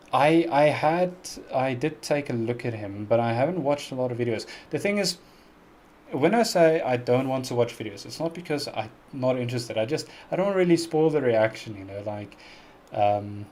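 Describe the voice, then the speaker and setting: A man's voice: medium pitch, a little mellow, slight background noise. Chatty South African man, careful diction. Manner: chatty and earnest, laughs often, pronounces words very carefully. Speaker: music reaction YouTuber hosting a live stream. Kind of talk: casual live-stream Q&A, answering chat.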